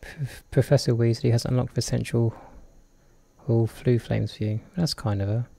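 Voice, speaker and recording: Gravelly-voiced man with a cold. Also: medium pitch, steady tone, normal speed, dark tone. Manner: jokey, chatty, reads on-screen text aloud. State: has a cold. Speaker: let's play host. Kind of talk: casual gameplay commentary.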